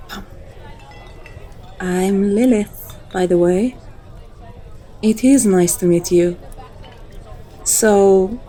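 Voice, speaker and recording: A woman's voice: mid-range voice, mellow. A woman playing a flirtatious, teasing female vampire in an intimate, coaxing ASMR roleplay.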